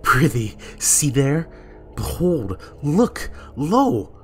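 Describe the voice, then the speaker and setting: A man playing a guilt-ridden usurper king in a Shakespearean dramatic reading, with measured, declamatory verse delivery. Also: a little high, very animated, fairly bright tone, soft background music, full character voice. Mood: agitated, frightened. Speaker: amateur actor in a library's dramatic reading.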